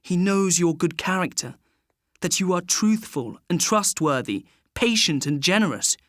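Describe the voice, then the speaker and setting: A man voicing a caring, wise wife comforting her husband in a gentle, reassuring way. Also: high voice, animated, measured pace, no echo, studio quality, pitched above the performer's own voice.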